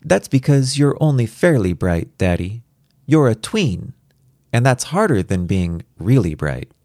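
A man's voice: medium pitch, very animated, measured pace, a little mellow, dry recording, clean studio sound, voice pushed up. A man voicing a precocious four-year-old girl in a storybook reading, matter-of-fact, patient and superior. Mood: patient, exasperated.

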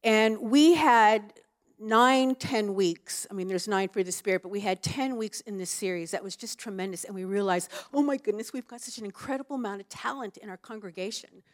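A woman speaking casually about her church work, her voice sitting at a medium pitch. She talks frankly and loosely, with plenty of fillers. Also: fairly quick. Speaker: church ministry leader. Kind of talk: casual chat.

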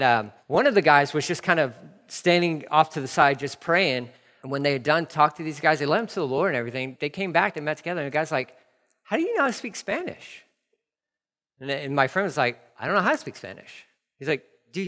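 Preaching man in a reverberant hall. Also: fairly high pitch. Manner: storytelling, animated and conversational. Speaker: pastor. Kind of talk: sermon.

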